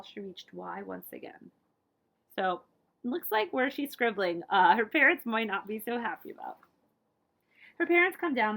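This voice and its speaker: A woman reading a picture book aloud to children as a librarian, with expressive, dramatic emphasis. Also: mid-range voice, creaky, rough recording. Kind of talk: animated storybook read-aloud.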